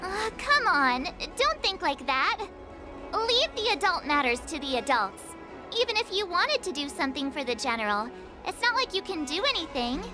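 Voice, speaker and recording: A woman's voice: very high-pitched, very animated, moderate pace. An actress playing a brash, tactless young girl who talks bluntly with lots of hesitant fillers. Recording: game dialogue over music. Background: game music.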